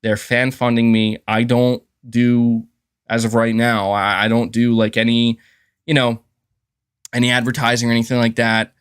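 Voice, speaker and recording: Brief: male livestream host, mid-pitched voice, relaxed and talkative, laughs easily. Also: normal speed, smooth. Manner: chatty, chuckles often, says "dude" and "man". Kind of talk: casual livestream commentary.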